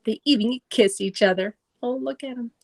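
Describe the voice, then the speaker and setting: A woman's voice: fairly high pitch, normal speed. A middle-aged woman reading a children's nonfiction book aloud, carefully, with simple explanatory asides for young listeners. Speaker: children's read-aloud presenter.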